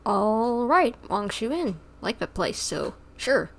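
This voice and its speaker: Slow-paced woman, casual game streamer. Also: fairly high pitch, sing-song, creaky, a little hiss or hum. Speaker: livestreamer.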